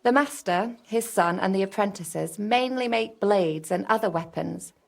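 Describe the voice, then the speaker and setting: A woman's voice: medium pitch, unhurried, vocal fry. A woman playing a flirty, cheerful medieval serving woman with a coy, teasing manner in a video game. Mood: chatty, explanatory. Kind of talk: naturalistic game dialogue.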